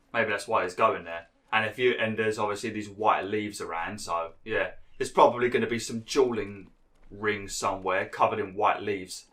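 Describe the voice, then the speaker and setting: Bright-toned lad with a froggy throat. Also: male voice, fairly low pitch. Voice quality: slightly nasal, froggy throat. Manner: chatty, rambling asides. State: hoarse, a bit ill. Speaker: gaming YouTuber. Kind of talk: casual gameplay commentary.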